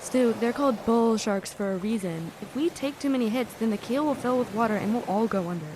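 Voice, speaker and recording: A woman playing a frightened young hostage in an audio drama, speaking in an anxious, halting, pleading way. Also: fairly high pitch, background noise, natural voice. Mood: panicked.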